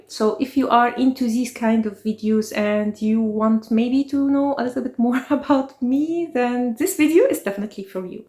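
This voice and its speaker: A woman in her forties from Egypt, hosting a chatty, personal vlog about her life in fragrances. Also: a little high. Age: 40s. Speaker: fragrance channel host. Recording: echoey room.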